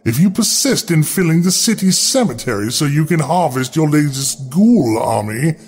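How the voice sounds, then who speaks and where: A man's voice: a little high, lively intonation, moderate pace, darkish tone, soft background music, close to an everyday voice. A man voicing a proud, austere aristocratic ghoul mother in a formal, haughty manner for a horror story. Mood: angry, stern.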